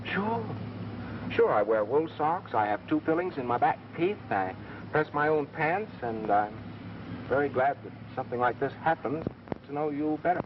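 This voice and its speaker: A man acting a mild, earnest, quietly smitten scientist, a little hesitant, in an old 1930s film. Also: fairly high pitch, animated, normal speed, natural voice. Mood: shy, earnest. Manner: matter-of-fact, hesitant, mild. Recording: dull, noisy vintage film soundtrack.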